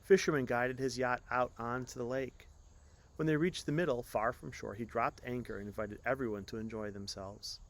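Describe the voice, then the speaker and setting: A man tells a story in an expressive, scripted read. His voice is medium in pitch, and he stresses key phrases as a storyteller does. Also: moderate pace.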